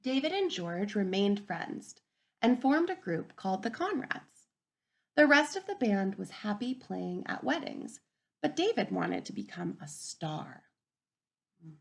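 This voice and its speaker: A woman teacher reading a picture book aloud to young children, gentle and encouraging, often dropping to a soft whisper. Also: mid-range voice, big pitch swings, measured pace, creaky. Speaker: kindergarten teacher. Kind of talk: read-aloud storybook lesson for young children.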